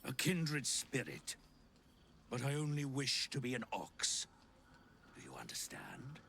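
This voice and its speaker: A man's voice: mid-range voice, wildly swooping pitch, measured pace, gravelly, pushed and bright, slight background noise, full character voice. A man playing a sinister, secretive game character with theatrical, mock-polite manners who slips toward whispers. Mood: coy, mock-grateful. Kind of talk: video game character acting.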